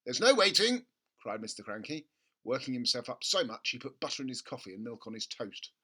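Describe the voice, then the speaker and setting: A man reading a children's story aloud as an expressive narrator. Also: mid-range voice, fairly quick, breathy edge. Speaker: storybook reader.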